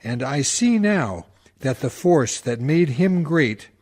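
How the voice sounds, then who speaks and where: A man's voice: on the high side, very animated, slow, darkish tone, full character voice. A man playing a faded old small-town womanizer, wistful and bitter, reminiscing ruefully in a radio verse monologue.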